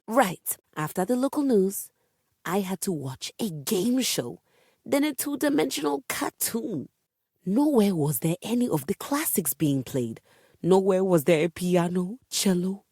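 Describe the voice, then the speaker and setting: A woman's voice: fairly low pitch, darkish tone, dry recording, studio quality. A woman voicing a haughty, dramatic piano diva in a video game, with formal, pompous, theatrical diction. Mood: exasperated, indignant. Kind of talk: scripted game character dialogue.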